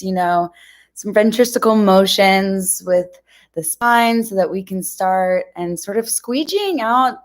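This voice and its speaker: A woman leading a guided mindfulness meditation, speaking to listeners in a gentle, reassuring, informal way. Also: fairly low pitch.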